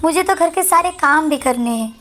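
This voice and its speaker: Mean bossy girl, really high voice, scornful jealous stepsister, cartoon w music. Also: expressive, normal speed, fairly bright tone. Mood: bossy, scheming. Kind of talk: Hindi moral-story cartoon acting. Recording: background music under the voice.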